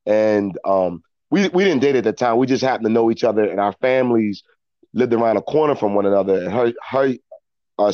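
A middle-aged American man telling reflective personal stories as an interview guest, in a halting way, often repeating words as he thinks. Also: fairly low pitch, warm tone, dry recording, dull audio. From Philadelphia, United States. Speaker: interview guest, actor and storyteller. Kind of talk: reflective personal storytelling in conversation.

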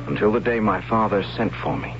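A man's voice: lowish voice, animated, fairly quick, close to an everyday voice. An actor playing a timid young tribesman who narrates his story in a wry, hesitant way, in a 1970s radio drama. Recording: dull old radio broadcast with music.

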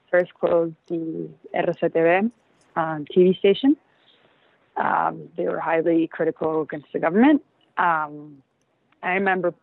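A woman, answering interview questions casually with many ums and frequent soft laughs. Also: lowish voice, no room sound. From Venezuela. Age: 20s. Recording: tinny phone-like line, dry.

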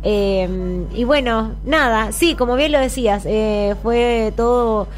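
A young woman singer who grew up in Chile, chatting as a guest in a radio studio, friendly and halting with lots of fillers. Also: a little high, soft background music. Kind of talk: casual interview chat.

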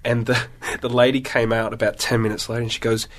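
A man's voice: medium pitch, little pitch movement, fast, fairly bright tone. A man guest on a podcast, telling stories playfully and mimicking voices. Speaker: guest actor. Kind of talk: casual chat.

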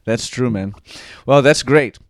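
A man's voice: mid-range voice, unhurried, no echo, studio quality. A man from Brazil, hosting a casual podcast interview with lots of enthusiasm and restarts. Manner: enthusiastic, many restarts and fillers. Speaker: podcast host.

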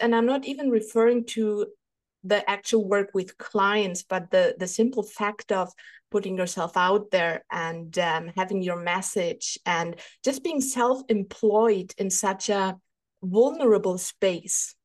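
A woman's voice: medium pitch, steady tone, takes it slowly. A woman from Germany with a German accent, a holistic therapist and coach talking in a podcast interview, thoughtful and hesitant with many fillers.

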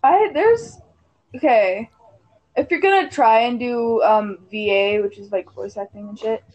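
This is a woman on a voice call whose voice sits fairly high for a woman. She takes charge bluntly, tells people to be quiet, curses casually and laughs often. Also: unhurried, highs cut off. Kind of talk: casual group chat.

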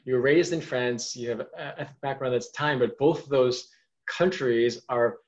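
A man's voice: medium pitch, moderate pace, darkish tone. New York-born male podcast host, eager and long-winded, on a dull lo-fi recording. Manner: enthusiastic, rambling questions. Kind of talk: casual podcast interview. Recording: dull lo-fi sound.

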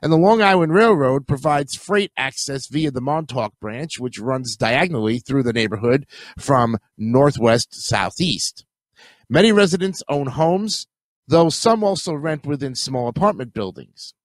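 A man's voice: medium pitch, expressive, measured pace, no room sound. Nasal New man with a cold. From Queens, New York. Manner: rambling, chatty, self-correcting. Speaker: podcast host. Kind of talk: casual solo podcast talk.

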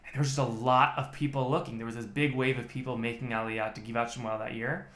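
A man's voice: medium pitch, flat delivery, fast talker, a bit bright. A man, a podcast guest telling his story in a methodical way with many restarts. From United States. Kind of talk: casual interview chat. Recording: echoey room.

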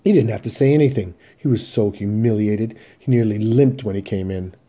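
A man's voice: mid-range voice, normal speed, mellow, husky, voice pushed up, close to an everyday voice. A man voicing a furious, wounded mother who scolds her grown sons in an emphatic, commanding way.

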